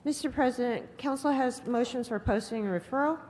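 A woman's voice: a little high, a little fast, a little mellow. A woman serving as a council clerk, making polite, deferential procedural reports to the chair. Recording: reverberant chamber, faint noise.

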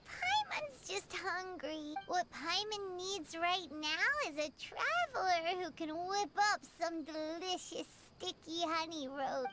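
A woman voicing a tiny, chirpy, greedy female fairy companion in a video game, who talks about herself in the third person. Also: exaggerated voice. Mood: pensive. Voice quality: high-pitched, childlike, refers to herself in third person. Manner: bubbly and excitable, with quick swings into pouting. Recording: game audio with loud background music.

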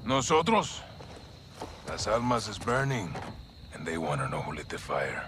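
An actor playing a tough, wry Mexican special forces officer in a video game, with a Mexican accent. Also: male voice, low-pitched, very animated, normal speed, background noise. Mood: wry, measured.

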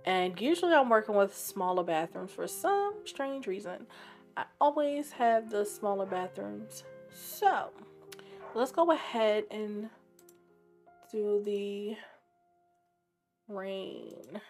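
Raspy-voiced woman streamer, sore throat. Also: mid-range voice, expressive, slow, pauses often, darkish tone, soft background music. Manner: chatty, thinking aloud, trailing off. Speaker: game streamer. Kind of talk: casual gameplay commentary.

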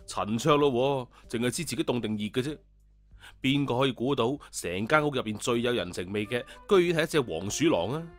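A man's voice: medium pitch, fairly bright tone. Male storyteller over music. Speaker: audiobook narrator. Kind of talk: animated scripted storytelling. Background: background music.

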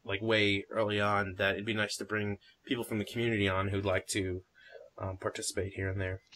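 A man's voice: lowish voice, little pitch movement, fairly quick, sharp tone. A man with a New Jersey accent hosting a casual gaming podcast, rambling through fillers and restarts. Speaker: podcast host.

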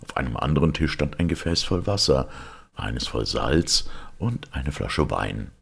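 A man narrating a fairy tale from a script in an expressive, dramatic way, with a gravelly, husky voice. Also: very deep voice, measured pace.

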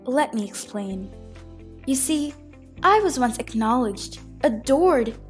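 Woman as fountain goddess, high voice, sorrowful, earnest, dramatic, pleading, fan film, music behind. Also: animated, slow pace. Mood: solemn, wistful. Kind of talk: amateur fan film voice acting.

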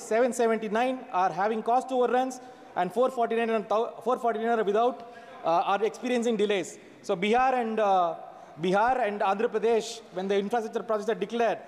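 A man's voice: extremely high pitch, darkish tone. A man in his forties giving a sarcastic budget speech in a large parliament chamber, reading from notes into a desk microphone. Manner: sarcastic, reads from notes with hand gestures. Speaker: member of parliament. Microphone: fixed desk microphone. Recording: long chamber reverb.

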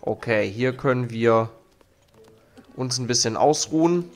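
A man's voice: mid-range voice, unhurried. A young man with a cold, hosting a let's play and commenting casually on his game while reading its text aloud. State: has a cold. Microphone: studio mic on arm. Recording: faint game music behind.

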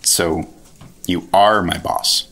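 Radio-play sidekick: bitter American junior agent, impatient and sullen, husky lower voice. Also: male voice, steady tone, takes it slowly, pushed and bright, exaggerated voice. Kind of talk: 1950s radio drama acting.

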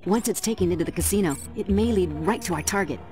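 A woman's voice: fairly low pitch, fast talker, darkish tone, faint music behind, natural voice. A woman playing a businesslike but caring spy agency handler, giving mission briefings in a video game. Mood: brisk, concerned. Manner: efficient, businesslike.

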